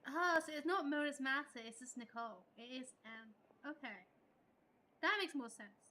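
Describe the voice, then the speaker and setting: A woman's voice: high voice, normal speed, sharp tone. A woman streaming a video game live, reading dialogue aloud and reacting to it casually. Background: faint game music.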